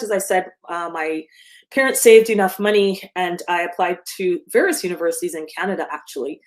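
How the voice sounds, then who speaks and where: Earnest woman from Barbados, lowish voice. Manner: earnest, with frequent ums and self-corrections. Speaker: guest speaker. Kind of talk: remote presentation with slides. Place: speaking remotely from home or office.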